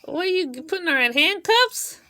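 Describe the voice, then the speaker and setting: A woman's voice: extremely high pitch, animated, normal speed, sharp tone. A woman chatting casually as she coaches and playfully prompts a child. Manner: encouraging, playful teasing. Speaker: adult helper prompting a child reader.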